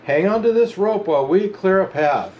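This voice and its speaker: A man reading a storybook, voicing a group of cross elves in a high, snappy voice. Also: animated, normal speed, muffled recording.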